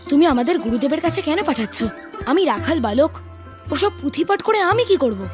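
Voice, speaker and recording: Woman voicing a cartoon cowherd boy, headstrong, whiny, pleading, high quick chatter. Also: big pitch swings, over music. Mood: sulky. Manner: whiny, pleading back-talk. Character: mischievous young cowherd boy, restless and headstrong.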